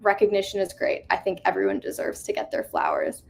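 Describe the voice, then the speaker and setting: Woman, twenties, gravelly voice, earnest and reflective, many fillers, slight background noise. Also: fairly low pitch, huge pitch swings, fairly quick. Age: 20s. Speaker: conference speaker. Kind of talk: motivational talk with slides. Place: home, on a video call. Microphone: video call mic.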